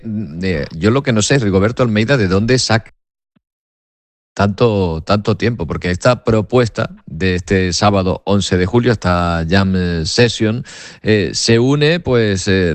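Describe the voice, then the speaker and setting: A man's voice: fairly low pitch, dry recording. A man hosting a radio interview, asking questions in a conversational way. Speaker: radio host.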